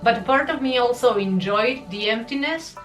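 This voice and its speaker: A woman's voice: a little high, moderate pace, soft background music, poor audio. A woman vlogger, not a native English speaker, talking candidly and reflectively about her own habits in a confessional vlog. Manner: candid, reflective, self-deprecating. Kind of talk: personal confessional vlog narration.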